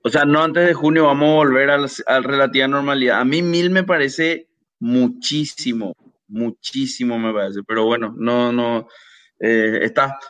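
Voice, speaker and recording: A man's voice: medium pitch, steady tone, normal speed, a bit bright. A man moderating a casual group discussion over dull, lo-fi audio.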